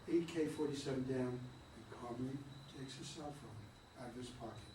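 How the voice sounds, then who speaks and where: A man reading stage directions aloud in a theatre room, in an even, measured way. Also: mid-range voice. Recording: roomy, distant, noisy room recording.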